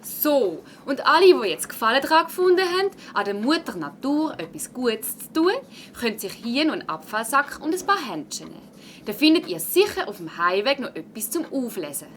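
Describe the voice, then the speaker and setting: Lively high-voiced Swiss schoolteacher. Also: female voice, fairly quick, a little hiss or hum. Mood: encouraging. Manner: emphatic, announcing. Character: brisk woman schoolteacher running a class clean-up. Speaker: teacher. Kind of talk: children's audio play.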